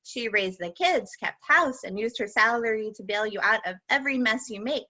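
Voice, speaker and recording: A woman reading aloud, voicing a stern older grandfather who scolds loudly and emphatically. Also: a little high, expressive, moderate pace, lo-fi sound. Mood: angry. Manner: loud, emphatic, chiding.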